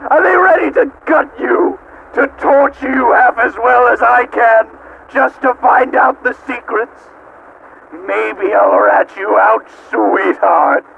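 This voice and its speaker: Slow, high-pitched, sadistic evil supercomputer. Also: male voice, gravelly, full character voice. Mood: mocking, accusing. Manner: theatrical, menacing, drawn-out words, swinging from hushed to shrieking. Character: sadistic, hate-consumed male supercomputer, mocking, theatrical, godlike. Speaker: amateur voice actor. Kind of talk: theatrical villain monologue reading. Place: at home. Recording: dull lo-fi home recording, faint noise.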